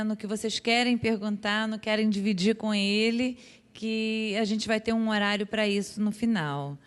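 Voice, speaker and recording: Female event moderator, mid-pitched, informal delivery with hesitant fillers. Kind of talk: introducing a speaker.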